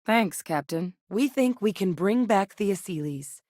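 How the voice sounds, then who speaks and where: A woman voicing a steady, serious woman officer in a video game, speaking in firm, clipped lines. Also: mid-range voice, a little slow, no echo, studio quality. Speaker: game character voice.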